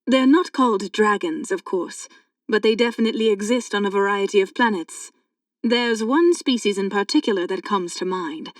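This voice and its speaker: Very clear, dry-recorded voice of a knowledgeable sci-fi woman played by an actress. She explains things in a measured, patient way, as audiobook drama dialogue, sounding slightly away from the microphone. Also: mid-range voice, no room sound, distant mic, lightly acted. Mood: calm explaining. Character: two sci-fi women, a knowing guide and a curious thief. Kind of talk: full-cast audiobook dialogue.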